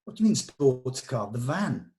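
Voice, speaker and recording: A man with a mid-range voice leads an informal creative discussion. He speaks deliberately, thinking aloud as he explains his reasoning and tries out possible lines of dialogue. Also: mid-range voice, sing-song, a little mellow. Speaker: script discussion leader at a table read.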